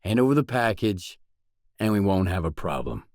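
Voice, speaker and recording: A man's voice: low-pitched, sing-song, moderate pace, a little mellow, dry recording, studio quality. A man playing a guarded, determined post-apocalyptic stalker in a video game, speaking in a terse, probing, matter-of-fact way. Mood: firm, threatening. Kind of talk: isolated video game dialogue lines.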